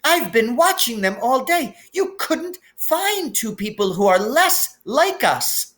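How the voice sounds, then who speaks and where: Give the storybook's older witch professor, as played by a man reader, a high, slow voice. She is a stern, anxious woman, easily astonished and quick to disapprove. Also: sing-song, fairly bright tone, exaggerated voice. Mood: shocked, indignant.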